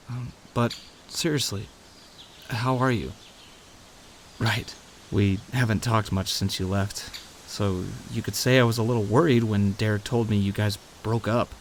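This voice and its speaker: A man playing a teasing, warm-hearted young friend in an audio roleplay, conversational and given to playful grumbling. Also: mid-range voice, a little hiss or hum. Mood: concerned, playful. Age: young adult. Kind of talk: audio roleplay acting, addressing the listener.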